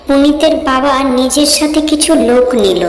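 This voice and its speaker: Female horror narrator, high voice, echoing reverb over music. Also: expressive, moderate pace, creaky. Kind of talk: scripted horror story narration. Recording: reverberant echo, music behind.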